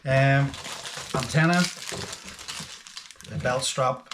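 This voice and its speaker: A man's voice: medium pitch, steady tone, extremely slow, background noise. A man talking casually and a little hesitantly through a hands-on product review, thinking aloud as he goes. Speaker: host of a boating gadget channel.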